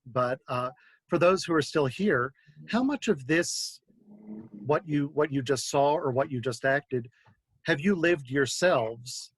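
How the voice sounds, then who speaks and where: A man moderates a discussion over a video call at a slow pace. His voice is dry, with little room sound around it. He is gentle and polite, pausing as he goes. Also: on the high side, breathy edge, no room sound. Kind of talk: moderating an online question session.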